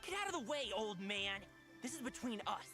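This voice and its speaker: A woman's voice: mid-range voice, expressive, fairly quick, close to an everyday voice. A woman voicing a brash, aggressive young male martial dancer in a video game, rude and pushy. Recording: background music behind voice.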